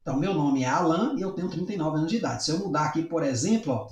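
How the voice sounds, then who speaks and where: A man's voice: fairly high pitch, expressive, rapid-fire, darkish tone. A man with a Brazilian Portuguese accent explaining programming in a casual coding tutorial, often checking in with tag questions. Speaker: programming teacher. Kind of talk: screen-recorded coding tutorial. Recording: echoey room.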